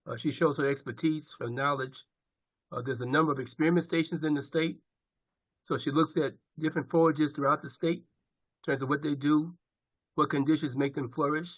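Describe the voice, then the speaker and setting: A man's voice: fairly high pitch, no echo, rough recording. Man introducing a speaker in a dry close recording. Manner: warm and approving, with "uh" fillers. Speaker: college dean introducing a speaker.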